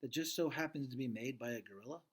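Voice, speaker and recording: A man's voice: mid-range voice, fast talker, slightly rough, close to an everyday voice. A man voicing a weary, reluctant, skeptical father in a storybook read aloud.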